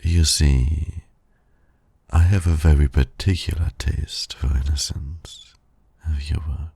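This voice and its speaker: A man performs a suave villain flirting with his nemesis in an ASMR roleplay. His voice is dark, very breathy and full of gravel, recorded close and dry. He teases with formal, silky condescension. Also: rumbling low, fairly even intonation, slow, no room sound, full character voice. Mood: seductive, confiding.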